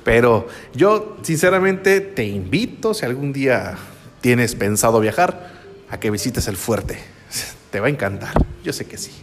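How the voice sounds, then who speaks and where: A man's voice: mid-range voice, expressive, measured pace, long reverb tail, a little hiss or hum. A young man from Sinaloa, Mexico, with a Sinaloan accent, a radio announcer chatting casually and a little rambling, with fillers and laughs, on his podcast. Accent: northwestern Mexican Spanish (Sinaloa). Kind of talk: casual first-person podcast chat.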